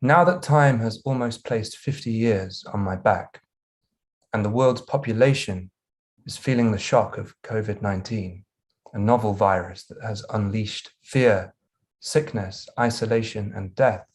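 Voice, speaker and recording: Man, lowish voice, reading aloud from a book, measured and steady. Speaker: reader.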